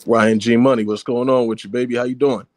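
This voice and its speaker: A man calling in to a sports show, chatting in a relaxed way. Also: mid-range voice, fast, no room sound. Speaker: caller. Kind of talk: casual sports talk.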